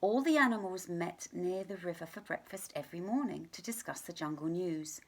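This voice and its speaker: A woman reading a children's story aloud in an expressive, deliberate storytelling manner, sometimes hushed. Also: lowish voice. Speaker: teacher reading a picture book to children.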